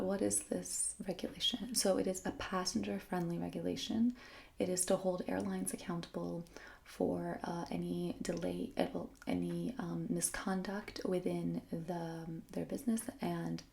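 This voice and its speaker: A young woman explaining a topic in a soft, mostly whispered ASMR style, rambling with fillers and self-corrections. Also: lowish voice, gravelly, a little hiss or hum. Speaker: vlogger.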